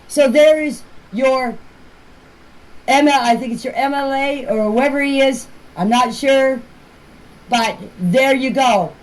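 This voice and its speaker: A woman's voice: sits high, slow pace, rough recording. A woman talking casually and plainly, as if leaving voice messages for a group chat, in an amateur recording. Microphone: voice clips recorded for a group chat.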